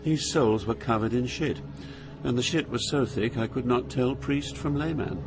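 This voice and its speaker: A man's voice: medium pitch, moderate pace, over music, close to an everyday voice, low-quality recording. An actor playing an awed, fearful poet pilgrim, narrating his journey through hell in a solemn, theatrical manner. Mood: solemn dread. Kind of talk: dramatized literary narration.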